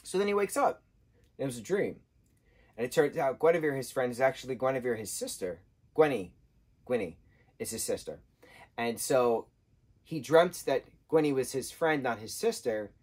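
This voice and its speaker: A man in his thirties, husky-voiced, giving an animated casual book review from a sofa indoors. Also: medium pitch, normal speed, long pauses. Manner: animated, gestures a lot, acts out quotes in changing voices. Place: indoor room, sofa with patterned throw.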